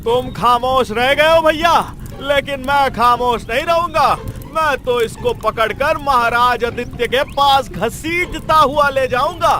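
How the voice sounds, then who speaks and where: A man voicing a hot-tempered village blacksmith in a cartoon story, complaining loudly and indignantly in drawn-out words. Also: very high voice, exaggerated voice. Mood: pained, outraged.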